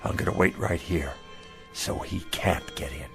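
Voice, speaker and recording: A man's voice: low-pitched, unhurried, creaky, soft background music. An actor playing a kindly older male street peddler in a radio drama, folksy, earnest and stubborn. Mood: worried.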